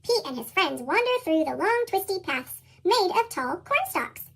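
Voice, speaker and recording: Woman, American accent, chipmunk effect, extremely high pitch, very animated storybook narration. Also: moderate pace, vocal fry. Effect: sped-up chipmunk voice, pitched very high. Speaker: children's storybook reader. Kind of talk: expressive scripted read-aloud narration for young children.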